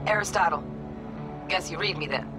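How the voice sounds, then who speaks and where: An actress playing a crisp, wry young female hacker talking over a comms line in a game, with music behind. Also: lowish voice, normal speed, poor audio. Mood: businesslike. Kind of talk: game dialogue.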